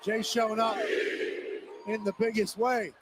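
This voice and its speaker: A male color commentator with a high voice gives punchy analysis of a wrestling match. A roaring arena crowd and heavy noise sit under every line of the broadcast. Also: level tone, unhurried. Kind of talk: live sports color commentary.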